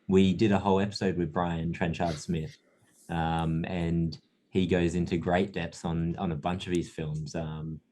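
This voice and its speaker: A man being interviewed about his film company, with a very low voice. He explains at length in an easygoing, chatty way. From Australia. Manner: relaxed, explanatory, laughs easily. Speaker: guest, film distributor marketing manager. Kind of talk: casual interview chat.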